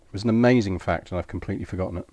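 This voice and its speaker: A man's voice: deep voice, very fast, a little mellow, no room sound. A man streaming a strategy game, chatting casually and rambling through an aside with fillers and restarts. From Britain. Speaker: gaming streamer. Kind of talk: casual commentary over gameplay.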